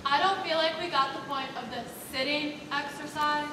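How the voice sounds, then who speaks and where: A woman's voice: sits high, steady tone, moderate pace, bright, forceful tone. A teenage woman acting a doubtful, gossipy student cast member in a stage comedy, in a theatre. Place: large school theatre stage, audience present. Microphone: far camera in audience. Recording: distant, noisy, echoey auditorium recording.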